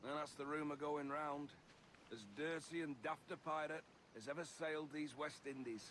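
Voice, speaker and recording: Gossiping guard, male actor, slightly high, scornful. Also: steady tone, moderate pace, a bit bright, slight background noise, natural voice.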